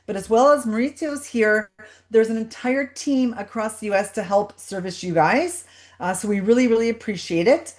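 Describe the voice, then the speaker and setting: A woman hosting a live webinar over a video call, chatty and welcoming as she greets everyone. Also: medium pitch. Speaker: webinar host.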